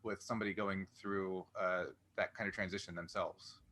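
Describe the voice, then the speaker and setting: Man with a very bright, forceful voice, interviewing. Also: low-pitched, little pitch movement, moderate pace. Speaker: interview host. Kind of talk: conversational interviewing.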